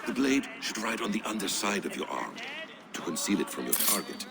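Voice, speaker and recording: An actor playing a courteous, measured master of a secret assassin brotherhood in a video game, eloquent and philosophical. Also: male voice, on the low side, big pitch swings, vocal fry, a loud crowd. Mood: instructive, calm. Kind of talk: video game cutscene acting.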